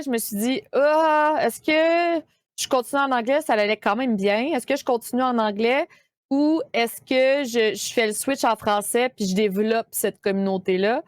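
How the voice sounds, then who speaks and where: A woman's voice: a little high, smooth, no echo. Chatty Québécois woman, dry close sound. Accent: Québécois French. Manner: chatty, many fillers and restarts. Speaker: guest streamer. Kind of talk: casual podcast chat.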